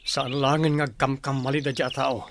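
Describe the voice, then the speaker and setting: A man's voice: medium pitch, level tone, normal speed, background noise, lightly acted. Determined son played by a man, birdsong behind. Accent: Filipino, Ilocano. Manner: earnest, determined. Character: resolute, protective son planning his father's rescue. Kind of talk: radio drama acting.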